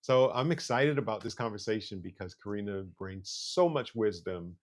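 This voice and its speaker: A man's voice: lowish voice, lively intonation, moderate pace. A man hosting a mindfulness talk show, upbeat and affirming as he greets listeners and introduces a guest. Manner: upbeat, affirming, frequent "mm-hmm". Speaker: host.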